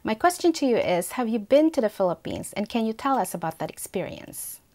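A middle-aged woman hosting an interview, delivering a scripted welcome and questions in a measured, deliberate way. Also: on the high side, big pitch swings, a little mellow. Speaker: host. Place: indoor studio against a brick wall.

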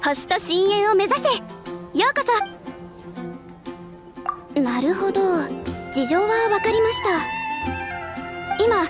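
A high, composed young female voice under loud music. She is a courteous receptionist in an anime game. Her service speech is polite and orderly. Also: big pitch swings, slow, long pauses, exaggerated voice. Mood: courteous, explanatory. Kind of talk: Japanese anime game acting. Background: loud background music.

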